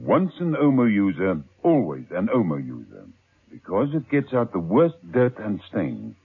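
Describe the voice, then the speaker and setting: Man, lowish voice, lively ad read, tinny old radio sound, music bed. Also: normal speed, gravelly. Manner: emphatic sales pitch. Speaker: radio announcer. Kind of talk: radio commercial announcing.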